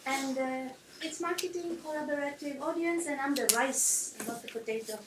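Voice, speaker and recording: A woman's voice: sits high, normal speed. A woman introduces herself with a bubbly, laughing manner. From Norway. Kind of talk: self-introduction in a group. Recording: echoey room, far mic, loud hiss.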